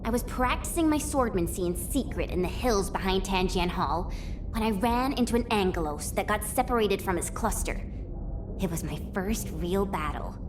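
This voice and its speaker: A woman playing a cheerful, eager, playful young swordswoman in a video game, expressive and chatty. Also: medium pitch, normal speed, noisy background, lightly acted. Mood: reflective. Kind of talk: video game character voice lines. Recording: music under the voice.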